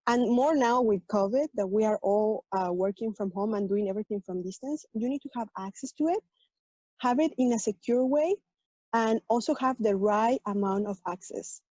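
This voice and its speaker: A woman's voice: a little high, mellow, slightly rough, no room sound. A woman from Mexico with a Mexican accent, Spanish her first language, earnestly explaining her work and story with fillers and restarts. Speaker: tech program manager, panel guest. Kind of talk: personal story on a virtual panel. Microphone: video call. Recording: dull lo-fi video call audio.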